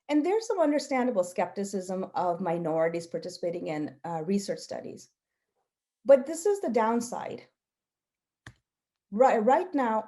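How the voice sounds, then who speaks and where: Woman, native Gujarati speaker, health researcher at the podium. Relaxed, warm timbre, earnest delivery with deliberate emphasis. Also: medium pitch. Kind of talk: prepared talk with personal storytelling.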